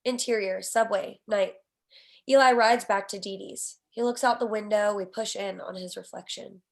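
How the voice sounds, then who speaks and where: A woman reading stage directions at a screenplay table read, with an expressive, wry delivery. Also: medium pitch, normal speed, creaky.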